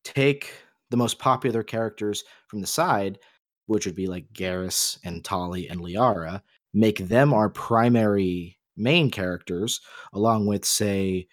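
A man's voice: lowish voice, measured pace, no echo, clean studio sound. A man co-hosting a casual, opinionated discussion, explaining his points at length in a rambling, emphatic way. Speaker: podcast co-host.

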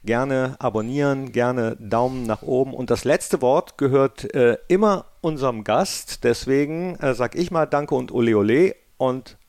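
Man, podcast host, gravelly voice, chatty interview style, dry studio sound. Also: mid-range voice, measured pace, few pauses, darkish tone, no room sound. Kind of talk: casual interview hosting.

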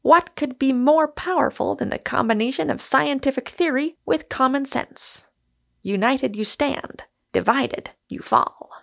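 Woman playing a grandiloquent, witty gentleman speechmaker. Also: a little high, gravelly, voice pushed up. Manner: mock-solemn, declamatory, playful.

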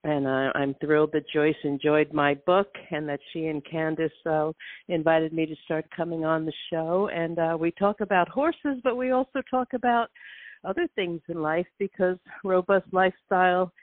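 A woman guest author from New York, chatting casually with lots of fillers, restarts and laughs, a little croaky from allergies. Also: deep voice, fairly even intonation, moderate pace, no echo. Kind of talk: casual interview chat. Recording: tinny phone line.

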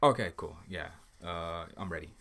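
A man's voice: deep voice, normal speed, gravelly, sharp tone. A man interviewing a guest, asking casual questions with many "uh"s and repeated short praise. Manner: hesitant, filler-heavy, repeats "pretty cool".

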